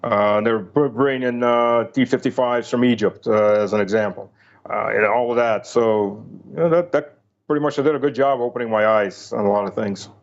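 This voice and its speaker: A middle-aged or older man who grew up speaking Russian, recalling and analysing military experience in a halting, informal way. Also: mid-range voice, fairly even intonation, dull audio. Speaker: interview guest, military veteran. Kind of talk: conversational personal recollection and analysis.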